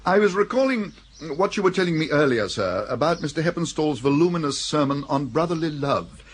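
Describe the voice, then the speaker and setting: An actor playing a deferential, unflappable English valet, formal, measured and drily understated, in a radio comedy. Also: male voice, on the high side, expressive, a little hiss or hum, natural voice. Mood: tactful suggesting. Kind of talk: radio comedy drama acting.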